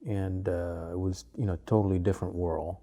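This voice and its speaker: A man in his fifties from Malaysia, an artist talking about his life in an interview, unhurried and full of pauses and fillers. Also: sits low, flat delivery, dark tone. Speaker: artist guest. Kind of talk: relaxed interview conversation.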